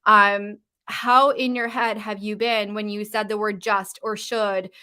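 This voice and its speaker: A woman's voice: medium pitch, level tone, unhurried, pushed and bright, clear, resonant tone. A woman with a mild Canadian West Coast accent, coaching on a live stream in a casual, informal way, with uptalk and lots of fillers. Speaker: confidence coach. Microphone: live-stream mic.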